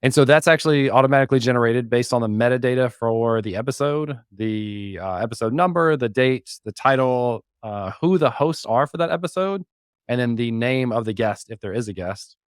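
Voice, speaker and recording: A man's voice: mid-range voice, brisk, smooth, no echo, studio quality. A man, a podcast co-host, casually talking through a walkthrough in a conversational way. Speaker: podcast co-host, guest on the show.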